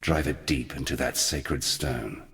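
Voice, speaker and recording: A man playing an ancient, forgotten god in a video game, somber and weary, speaking solemnly and deliberately in archaic, portentous phrases. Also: very deep voice, vocal fry, a bit bright, long reverb tail, full character voice. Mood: stern exhortation. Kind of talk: scripted video game character dialogue.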